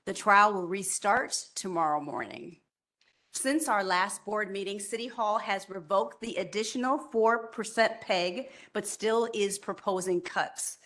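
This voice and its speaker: A woman chairing a public board meeting, running motions and reading a prepared report in a steady, measured way. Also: mid-range voice. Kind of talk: formal meeting remarks.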